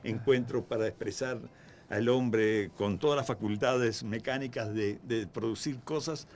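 A man's voice: medium pitch, unhurried. A man speaking as an artist guest in a radio interview, hesitating and restarting his phrases.

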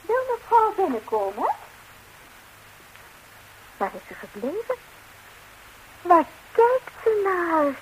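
An actress playing a cheeky, forthright young housemaid in a radio comedy, easily astonished. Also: female voice, extremely high pitch, sing-song, takes it slowly, long pauses, exaggerated voice, rough recording. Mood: polite. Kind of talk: 1960s radio comedy acting in Dutch. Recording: hissy 1960s radio recording.

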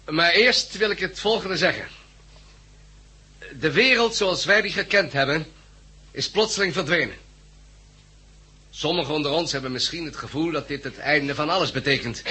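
Resolute male committee chairman, Dutch, very bright forceful voice, formal oratory, 1960s radio drama. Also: a little high, lively intonation, moderate pace, long pauses, husky, heavily acted. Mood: solemn, resolute. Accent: Dutch, Netherlands.

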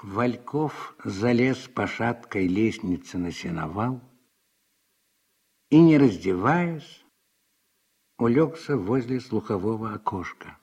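Slow gravelly male narrator. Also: fairly low pitch, expressive, darkish tone. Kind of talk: scripted literary narration for radio drama.

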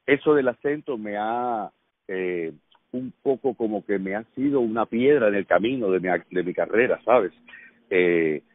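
A man's voice: fairly low pitch, moderate pace, dry recording. Middle-aged Cuban man, actor guest, chatty storyteller, phone-line sound. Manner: lively storyteller, laughs often. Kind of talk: casual radio conversation.

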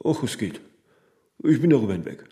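An actor playing a famous older workaholic in a radio drama, vain and stubborn, given to philosophical pronouncements that end in little tag questions. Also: male voice, mid-range voice, very animated, brisk, mellow. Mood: weary, defiant. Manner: rambling pronouncements, frequent tag "ne?".

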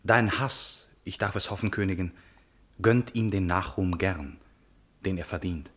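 An actor playing a noble, earnest young prince in a classical verse radio drama, with formal, stately declamation. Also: male voice, medium pitch, a bit bright, close to an everyday voice. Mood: grave.

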